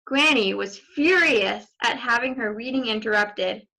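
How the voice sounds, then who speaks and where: A woman's voice: a little high, unhurried, creaky, bright, forceful tone, rough recording. A woman reading a children's story aloud expressively, with asides to young listeners. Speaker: storybook reader.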